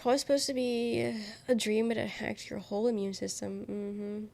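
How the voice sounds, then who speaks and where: A woman's voice: medium pitch, level tone, normal speed, gravelly, dark tone, a little hiss or hum. A young woman livestreamer chatting casually with viewers, her voice nasal and worn from being sick, rambling as she reads chat aloud. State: sick, congested voice.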